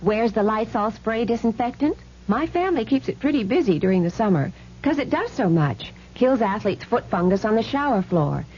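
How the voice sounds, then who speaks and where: A woman's voice: on the high side, animated, normal speed, darkish tone, close to an everyday voice. An actress playing a busy, cheerful mother keeping house in a seventies radio commercial. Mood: brisk. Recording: noisy, dull 1970s radio broadcast.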